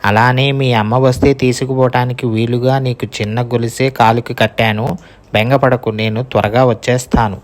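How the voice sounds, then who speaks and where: A humble, kindly woodcutter played by a man speaks at a middling pitch. He is a simple poor man out of a cartoon moral tale. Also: natural voice. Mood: reassuring. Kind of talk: cartoon moral story voice acting.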